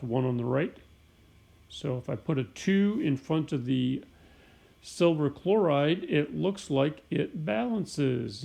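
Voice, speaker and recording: A middle-aged man teaching a chemistry lesson while sick, with a raspy, hoarse voice, explaining step by step and often clearing his throat. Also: on the high side, darkish tone. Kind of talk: recorded homeschool lesson.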